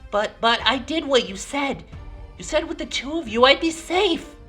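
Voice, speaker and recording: A man's voice: very high voice, expressive, moderate pace, pushed and bright, soft background music, pitched above the performer's own voice, full character voice. Man acting a timid, anxious young boy. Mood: frightened. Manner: hesitant, stammering.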